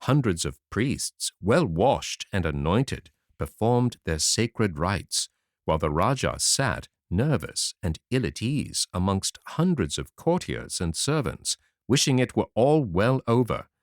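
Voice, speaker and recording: A man narrating a fairy tale audiobook in a dramatic, expressive reading. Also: lowish voice, slow, dry recording. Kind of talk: scripted storybook narration. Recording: dry close audiobook mic.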